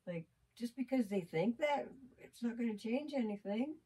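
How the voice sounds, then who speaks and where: A middle-aged woman with a deep voice, talking frankly and bluntly with her partner in a casual chat, swearing freely. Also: animated, normal speed, mellow, slightly rough. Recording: distant mic.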